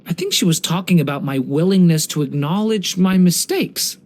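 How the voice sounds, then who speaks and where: An expressive, gentle young dragon boy. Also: sits high, normal speed. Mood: gentle, earnest. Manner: polite, earnest, storytelling. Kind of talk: English video game character voice lines.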